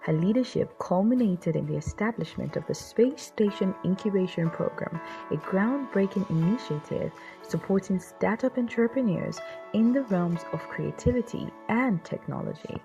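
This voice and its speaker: A woman's voice: mid-range voice, lively intonation, normal speed, gravelly, music in the background, low-quality recording. A woman narrating a scripted promotional introduction video in the manner of a formal biography.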